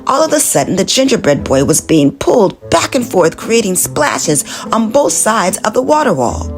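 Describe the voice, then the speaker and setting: A woman narrating a children's storybook in an animated, expressive read-aloud manner. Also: medium pitch, normal speed, faint music behind.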